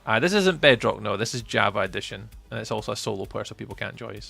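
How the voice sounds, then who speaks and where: A man's voice: fairly low pitch, animated, fast, vocal fry, pushed and bright, husky, faint music behind. A Scottish man from near Edinburgh chatting casually and teasingly with viewers on a live stream, laughing often. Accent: Scottish, Edinburgh area. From Scotland. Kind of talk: casual live-stream chat while gaming.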